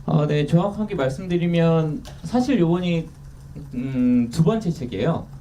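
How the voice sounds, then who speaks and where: Lively, mellow-voiced man speaking from afar. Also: on the high side, normal speed. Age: 30s. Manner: candid, self-deprecating, lively. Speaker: author guest. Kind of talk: casual interview talk. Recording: distant mic with faint noise.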